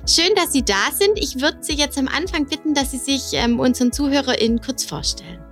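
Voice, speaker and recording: Lady hosting a podcast chat, bit high voice, friendly questions, faint music behind. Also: lively intonation, a little fast, a bit bright. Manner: friendly, encouraging questions with many mhm. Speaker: podcast host, education officer. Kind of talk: podcast interview.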